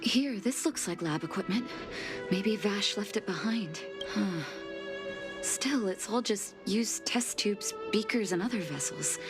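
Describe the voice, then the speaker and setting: A composed, determined doctor. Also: female voice, mid-range voice, animated. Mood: resolute. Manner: measured, thoughtful, soft sighs. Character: composed young woman doctor and leader, determined. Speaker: leader. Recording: studio voice-over with game music.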